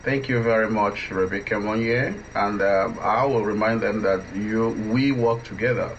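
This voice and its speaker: A man hosting a conversational radio interview, halting and repeating himself as he asks questions. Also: fairly low pitch. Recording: dull, faintly noisy line.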